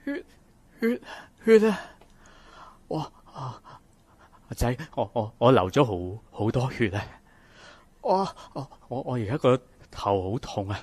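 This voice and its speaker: A man acting a grasping, abusive old father in a radio drama, with a Hong Kong Cantonese accent and a halting, coarse delivery. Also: mid-range voice, big pitch swings, a little mellow, husky, dry recording, heavily acted. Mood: pained, panicked. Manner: halting, stammering, groaning, coarse. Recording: music behind the voice.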